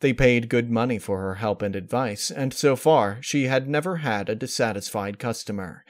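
A man's voice: mid-range voice, moderate pace. A man reading a fan-fiction story aloud as scripted narration.

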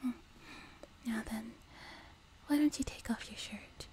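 A woman voicing a sweet, teasing, doting young demon girl in a soft, breathy whispered ASMR roleplay, close to a binaural mic. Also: a little high, lightly acted. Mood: caring, soothing. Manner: gentle, slow, teasing, intimate. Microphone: close binaural microphone.

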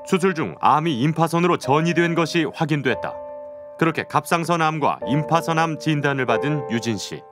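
A man's voice: on the high side, lively intonation, a little fast, music in the background. A man reading scripted narration for an investigative programme as its narrator.

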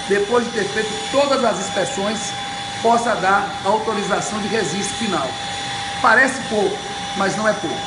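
Man, echoey room, loud background noise. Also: high-pitched. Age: 50s. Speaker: public figure giving an update. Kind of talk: informal speech to camera. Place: bare indoor room by windows. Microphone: camera or phone a short distance away.

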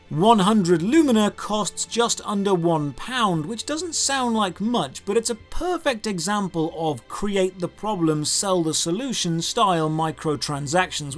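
A British man in his thirties narrating a scripted game review in a sardonic, critical manner. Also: high-pitched. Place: small room lined with game shelves.

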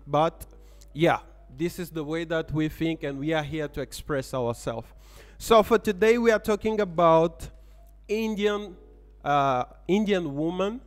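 A young man hosts a casual podcast from a café, speaking into a boom-mounted studio mic. His voice sits a little high for a man, and he laughs often between phrases. The room adds a long reverb tail, with faint music underneath. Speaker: podcast host. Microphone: studio mic on boom arm.

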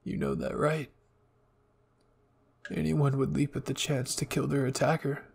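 A man voicing a wounded, remorseful young villain in an anime roleplay, speaking softly in a halting, weary way. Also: mid-range voice, flat delivery, vocal fry, breathy edge. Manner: halting, weak, pleading. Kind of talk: anime ASMR roleplay acting.

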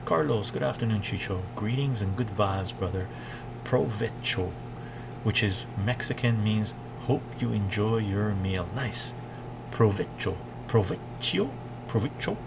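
A man, chatting casually and haltingly on a cooking livestream, musing and repeating phrases for emphasis. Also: lowish voice, creaky. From Canada, born in Iran. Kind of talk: casual livestream chat while cooking, reading viewer comments. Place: home kitchen, indoors. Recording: loud kitchen noise, chopping and clatter.

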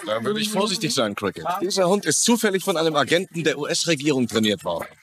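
A man's voice: a little high, sing-song, quick pace, crowd noise. An actor playing a wry, grumbling, image-conscious man in a dubbed crime drama. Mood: exasperated. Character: wisecracking, image-conscious man saddled with a dog.

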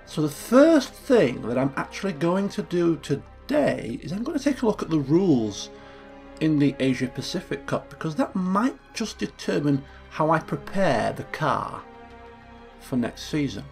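Chatty man with a gravelly voice. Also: on the high side, very animated, a little slow, a little mellow, faint music behind. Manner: chatty, thinking aloud, self-correcting. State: croaky from just waking up. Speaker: let's play host. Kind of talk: casual gameplay commentary.

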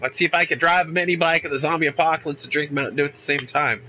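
A man's voice: on the high side, racing, bright, forceful tone. A young man with a congested voice from a cold, chatting casually and rambling through a let's play from a room at home. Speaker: gaming YouTuber.

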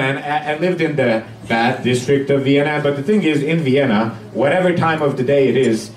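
A young man from Hungary doing a conversational, halting stand-up routine with acted-out dialogue, recorded from afar in a small club. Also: mid-range voice. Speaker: stand-up comedian. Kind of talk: live stand-up comedy routine. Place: small dark comedy club stage, audience present. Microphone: handheld stage mic heard from a far camera. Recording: distant camera recording, echoey club, loud audience noise.

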